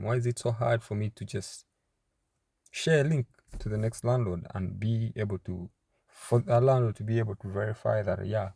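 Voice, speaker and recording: A young man in his twenties from Uganda, a startup founder introducing himself and his work in a casual, halting way, with many fillers and restarts. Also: lowish voice, creaky, mellow, husky, no room sound, studio quality. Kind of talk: casual personal introduction to camera.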